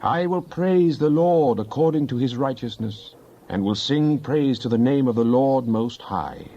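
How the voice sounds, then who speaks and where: A man's voice: medium pitch, lively intonation, measured pace, dark tone, muffled recording. A man playing a fervent psalmist in a dramatized Bible reading, solemn and declamatory in archaic scripture language. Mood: exalted praise. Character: fervent psalmist pleading with and praising God.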